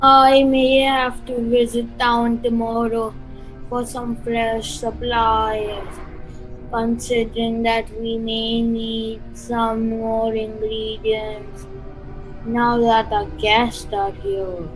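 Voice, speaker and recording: Woman acting a slow, eerie castle servant. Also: on the high side, level tone, soft background music, poor audio. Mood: sly. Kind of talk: amateur radio play acting.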